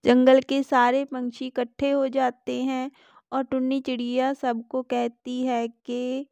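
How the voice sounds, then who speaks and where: A woman's voice: high voice, level tone, takes it slowly, vocal fry, darkish tone, smooth, dry recording. A woman narrating a scripted children's story.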